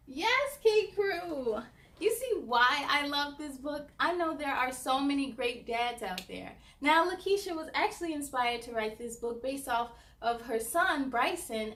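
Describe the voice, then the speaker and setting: A woman with a distinctly high voice hosts a story time for children. She moves between reading aloud and chatty asides. Her delivery is animated and sing-song, aimed straight at young viewers.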